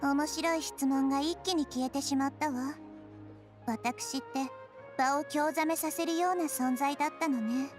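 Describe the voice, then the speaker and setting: A woman voicing a gentle, wise girl deity in an anime game, speaking in a poised, philosophical manner. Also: sits high, fairly even intonation, fast talker, music in the background, lightly acted. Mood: wry, disappointed. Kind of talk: anime game voice acting in Japanese.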